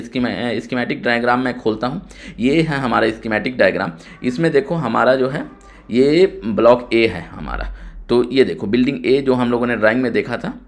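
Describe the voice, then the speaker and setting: A man giving an informal tutorial, explaining technical drawings step by step and often repeating himself. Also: medium pitch. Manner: step-by-step explaining with frequent repetition. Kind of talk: informal screen-share tutorial narration.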